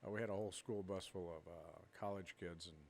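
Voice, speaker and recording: A man's voice: sits low, steady tone, quick pace, creaky, darkish tone. A middle-aged man from Minnesota, a podcast co-host telling rambling stories with frequent laughter. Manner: rambling storyteller, laughs often. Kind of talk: casual chat.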